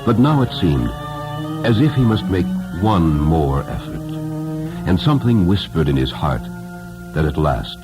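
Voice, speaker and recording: A man narrating a story in a solemn, deliberate and expressive dramatic style. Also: low voice, loud music behind the voice. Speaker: narrator, audiobook reader.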